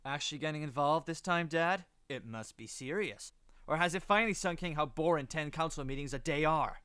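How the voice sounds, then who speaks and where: A man plays a rebellious young prince in an audio drama. His voice is fairly high and very clear, his delivery flippant and sarcastic. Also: a little fast, a bit bright, lightly acted. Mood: defiant, sarcastic. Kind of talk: scripted audio drama acting.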